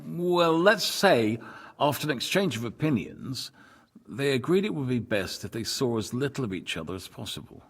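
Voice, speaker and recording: An actor in a video game cutscene playing a courteous, cautious lord of a medieval fortress, formal and guarded, with a husky voice. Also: male voice, medium pitch, sing-song, normal speed, fairly bright tone, exaggerated voice. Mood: guarded, diplomatic.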